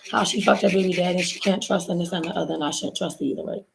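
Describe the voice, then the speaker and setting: A woman's voice: fairly low pitch, steady tone, rapid-fire, vocal fry, slight background noise. A woman from Baltimore who has lost her voice, chatting casually through a story time for her viewers while doing her nails, recorded on a laptop mic at home. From Baltimore, USA. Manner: chatty, rambling, addresses viewers as y'all. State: hoarse, lost voice. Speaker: vlogger. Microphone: laptop built-in mic.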